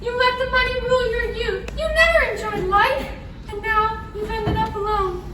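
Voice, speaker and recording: A woman's voice: very high-pitched, fairly even intonation, moderate pace. A woman playing a commanding ghost who declaims singsong rhymes in a stage pantomime. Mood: admonishing. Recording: very echoey hall, distant mic, noisy.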